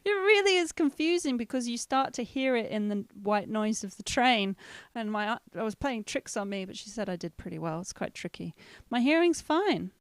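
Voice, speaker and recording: A woman's voice: a little high, animated, moderate pace, few pauses, clear, resonant tone, no room sound. A woman co-hosting a casual livestream chat, telling anecdotes, laughing often and quoting the people in them. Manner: chatty, laughs often, tells anecdotes with quoted dialogue. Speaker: co-host.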